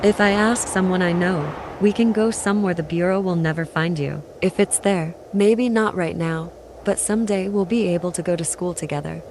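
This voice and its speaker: A woman voicing a young female devil who coaxes sweetly and threatens calmly in a manga fan dub. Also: lowish voice, moderate pace, close to an everyday voice. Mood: gentle coaxing. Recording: noisy track with street ambience.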